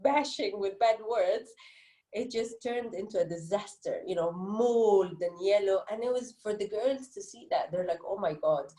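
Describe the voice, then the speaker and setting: A woman's voice: mid-range voice, normal speed, warm tone. A woman with an Egyptian accent giving conversational interview answers as an author, telling stories in an earnest, reflective way. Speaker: interviewed children's book author. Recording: roomy, echoey sound.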